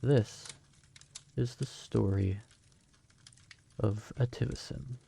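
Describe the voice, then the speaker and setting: A man playing a calm, patient storyteller telling a bedtime tale in a fantasy audio drama. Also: medium pitch, takes it slowly, gravelly, breathy edge, full character voice.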